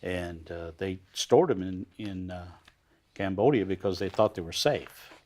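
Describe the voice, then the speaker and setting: Low voice older guy, host, lots of uh, leading questions, studio. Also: darkish tone. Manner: halting, leading questions with many "uh"s. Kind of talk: TV interview.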